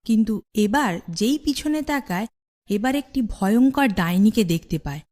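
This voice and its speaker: A woman narrates a children's fairy tale from a script. Her voice sits at a middle pitch, in the style of a cartoon storyteller. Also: expressive, moderate pace, darkish tone.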